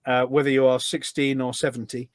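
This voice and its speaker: A man's voice: mid-range voice, little pitch movement, fairly quick, no room sound. Chatty middle-aged man, dry close recording. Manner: chatty, explanatory, anecdotal. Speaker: podcast guest, volunteer event organiser. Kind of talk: casual conversational interview.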